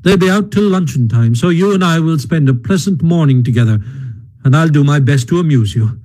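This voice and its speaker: A man's voice: on the high side, animated, a little fast, dark tone, rough recording. A man reading a storybook aloud and voicing a kindly but blunt male water rat who speaks in a cheerful, hearty way. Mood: hearty, coaxing.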